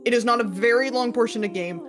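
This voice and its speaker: A woman's voice: on the high side, fast talker. A woman chatting casually as she guides someone through a video game. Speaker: co-commentator guiding the player. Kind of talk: casual chat. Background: game music.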